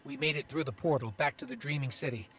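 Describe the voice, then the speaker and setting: An actor playing a small, loyal, alert robot companion in a video game, reporting earnestly and with concern. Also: male voice, medium pitch, fast talker, natural voice. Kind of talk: video game cutscene acting. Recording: clear background noise and ambience.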